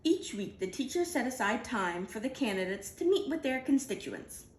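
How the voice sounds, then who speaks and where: A woman reading a children's picture book aloud in an animated, deliberate storytelling manner. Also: a little high, echoey room. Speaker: storybook reader.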